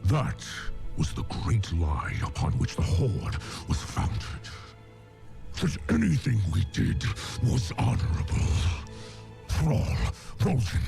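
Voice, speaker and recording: An actor playing a weary, grizzled old orc warrior, bitter and solemn, with a gravelly growl in a game cinematic. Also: male voice, lowish voice, heavily acted. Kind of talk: dramatic game cinematic acting. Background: cinematic music.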